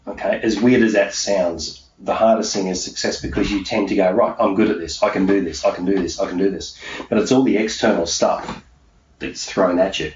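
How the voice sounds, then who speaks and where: A man's voice: deep voice, a little mellow. A middle-aged man giving emphatic, conversational interview answers as a coach, often repeating himself to drive points home. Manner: emphatic, repetitive, punctuates points with "okay". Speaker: interviewee, self-employed mindset coach. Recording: roomy, distant, muffled, faint background noise.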